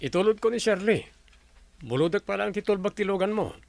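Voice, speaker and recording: High-pitched, coaxing young Ilocano fiancé. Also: male voice, expressive, a little fast, creaky, no echo. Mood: attentive. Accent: Filipino, Ilocano. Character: carefree, coaxing, dependent young fiancé and favourite son. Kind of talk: radio drama acting.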